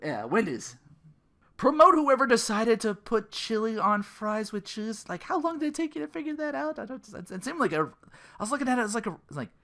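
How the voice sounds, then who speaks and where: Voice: medium pitch, very animated, brisk, pushed and bright. A podcast host in their thirties on a casual comedic rant, sarcastic and profane, sometimes slipping into mocking quotes. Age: 30s.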